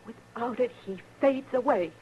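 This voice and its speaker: A woman's voice: fairly high pitch, moderate pace, highs cut off, close to an everyday voice. An American woman playing a devout, sorrowful frontier mother in a radio western, speaking with earnest, soothing compassion. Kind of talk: 1950s radio western acting. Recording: noisy old radio recording.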